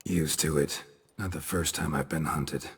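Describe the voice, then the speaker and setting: Stoic monster hunter from a fantasy game, super raspy deep voice, deadpan, few words. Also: male voice, unhurried, in a large hall, heavily acted. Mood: calm, deadpan. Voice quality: gravelly, raspy. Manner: laconic, deadpan, clipped sentences. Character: stoic, unflappable male monster hunter, mutated witcher. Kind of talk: understated video game acting.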